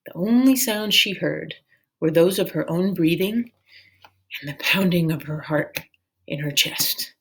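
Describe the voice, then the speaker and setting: Slow, low-pitched woman reading a story aloud. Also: slightly rough, poor audio. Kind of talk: narrated children's novel read aloud.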